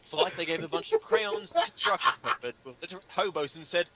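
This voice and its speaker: A man's voice: high-pitched, huge pitch swings, quick pace, gravelly, a crowd in the background. A man giving a dramatic comedic reading of an article, with an emphatic, mock-indignant, sardonic delivery. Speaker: reader performing a humor article.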